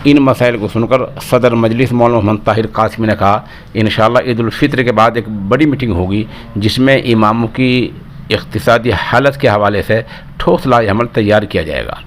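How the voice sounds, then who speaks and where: Man reading news over clear background noise. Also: lowish voice, moderate pace. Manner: formal Urdu diction. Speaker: news presenter. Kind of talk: scripted news report reading. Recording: clearly noisy recording with ambient sound.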